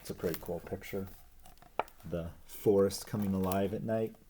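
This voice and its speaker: A man's voice: low voice, steady tone, normal speed, noisy background. A man narrating a children's picture book expressively as a read-aloud storyteller.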